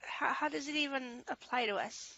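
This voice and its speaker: A woman's voice: high voice, normal speed, bright, forceful tone, highs cut off. A woman co-hosting a spiritual talk show, speaking earnestly and enthusiastically over a video call. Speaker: co-host.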